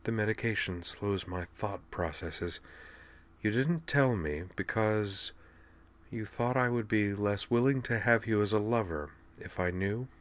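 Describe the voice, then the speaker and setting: Man voicing a quiet, raspy, wry wounded princess. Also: lowish voice, close to an everyday voice. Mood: calm, probing. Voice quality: raspy, dry. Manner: formal, dry, slow and measured. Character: injured alien crown princess, wry, regal, medicated woman.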